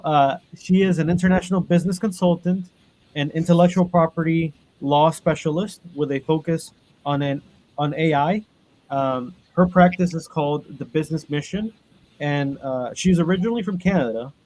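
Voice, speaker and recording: A man with a fairly high voice moderates an online panel. He reads the guests' bios over a video call and often pauses on "um" and "uh". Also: darkish tone, low-quality recording. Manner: reads bios with frequent ums and uhs. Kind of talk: webinar introductions.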